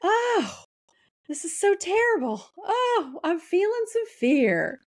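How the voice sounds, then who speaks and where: A woman voicing a melodramatic Southern belle in an American Southern accent, with breathy, drawn-out wails. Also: very high voice, sing-song, pitched above the performer's own voice, full character voice. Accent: American Southern, put on.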